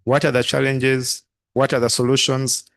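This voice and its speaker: A man, a doctor, explaining event plans over a video call in a halting, explanatory way with fillers. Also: mid-range voice, little pitch movement, a bit bright. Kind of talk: briefing on an event.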